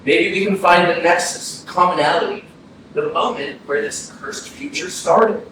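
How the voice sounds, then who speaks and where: An actor playing a troubled, earnest young man with a hesitant delivery in a staged play reading. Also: high voice. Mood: reflective. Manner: hesitant, halting. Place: arts center stage. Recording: very echoey hall, distant, faint noise.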